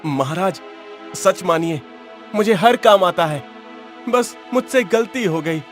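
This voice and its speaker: A man's voice: sits high, lively intonation, slow pace, soft background music. A man voicing a boastful, eager young drifter in a cartoon story, speaking earnestly and with self-assurance. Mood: pleading.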